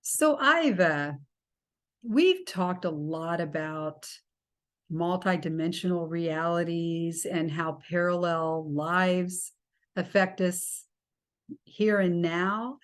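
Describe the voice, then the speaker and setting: A woman hosting a talk show, gently drawing out her guest and laughing along. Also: on the low side, takes it slowly. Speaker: host.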